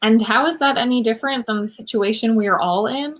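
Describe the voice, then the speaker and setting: A proud young eco-inventor played by a woman in her thirties in a comic radio play. She has a somewhat high voice and a dry-witted, natural delivery. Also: brisk, fairly bright tone. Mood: exasperated. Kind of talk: comedic radio play acting over video call. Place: room at home, on a video call.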